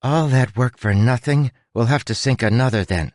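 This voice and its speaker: A man's voice: mid-range voice, sing-song, takes it slowly, no echo, studio quality, voice pushed up. A man voicing a tentative, puzzled young woman in a storybook narration. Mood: hesitant. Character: hesitant, skeptical young woman.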